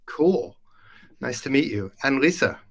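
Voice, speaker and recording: A man's voice: mid-range voice, animated, measured pace, fairly bright tone, husky. A man hosting a live podcast, chatty and upbeat, introducing colleagues. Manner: upbeat, chatty, with frequent fillers. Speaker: host. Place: Denmark.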